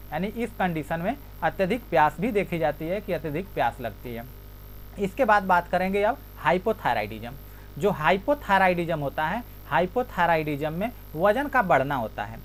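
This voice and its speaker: A woman's voice: on the low side, a little hiss or hum. A woman giving an explanatory health talk as an educator, restating each point in other words. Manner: repeats each point with a rephrasing.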